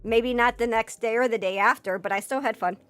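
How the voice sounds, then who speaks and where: Hoarse woman, slightly high voice, casual stream chat, rambling, swearing, filler words. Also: a little fast, a bit bright. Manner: rambling, filler-heavy and profane, breaks into laughter. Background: faint background music.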